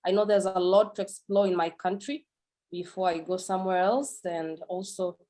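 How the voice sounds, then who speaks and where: A woman's voice: on the low side, steady tone, moderate pace, dark tone. Woman introducing herself, hesitant. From Tanzania. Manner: hesitant, repeating words as she speaks. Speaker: visual artist and environmental activist. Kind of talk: casual self-introduction.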